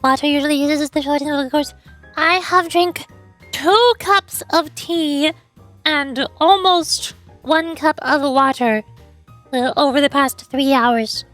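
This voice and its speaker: A young woman streaming a game in casual, rambling chat, her voice hoarse and fading. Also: high-pitched, a bit bright. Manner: rambling, self-pitying banter with fillers. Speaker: VTuber streamer.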